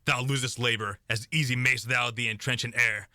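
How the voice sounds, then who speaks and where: A man's voice: medium pitch, fairly even intonation, moderate pace, bright, forceful tone, no echo, clean studio sound. A young man playing a defiant, doomed warrior king in a Shakespearean radio play, delivering verse in a declamatory way. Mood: proud, scornful. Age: young adult. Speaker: student actor.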